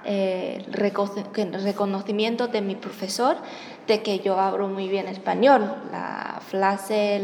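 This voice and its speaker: A woman's voice: medium pitch, a little mellow. Young woman, echoey hall. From China. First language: Chinese. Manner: hesitant, searching for words, self-correcting. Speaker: interviewee. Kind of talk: personal storytelling in a second language. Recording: echoey hall with faint background noise.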